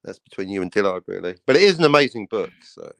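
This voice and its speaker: A man's voice: on the low side, big pitch swings, fast, creaky, fairly bright tone, no echo. A man bantering on a livestream in a teasing, wry, mock-grumpy way.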